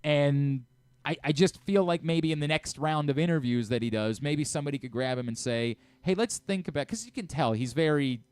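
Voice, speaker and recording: A man's voice: on the high side, brisk, a little mellow, smooth, no room sound. A man hosting a radio show, talking casually and conversationally about sports.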